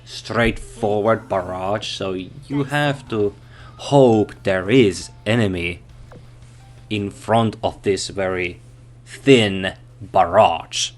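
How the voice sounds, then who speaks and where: A man reviewing a game off the cuff, in no hurry at all. He pauses often, thinks aloud and corrects his own figures. Faint music plays underneath. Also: on the low side. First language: Finnish.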